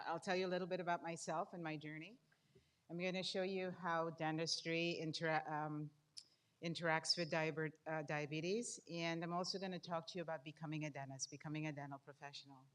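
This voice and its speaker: An older woman with a Guyanese accent giving an informal talk as a guest speaker, putting questions to her audience. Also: on the low side. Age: 50s or older. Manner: interactive, puts questions to the audience. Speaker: guest speaker, dentistry professor.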